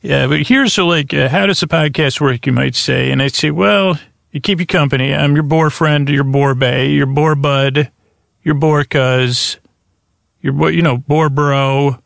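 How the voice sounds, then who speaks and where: A man hosting a bedtime podcast in a rambling monologue, stammering, interrupting himself and drifting off on tangents, with a gravelly voice. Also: on the high side, measured pace, fairly bright tone, no echo. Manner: meandering, stammering, self-interrupting, trailing off into tangents. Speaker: podcast host.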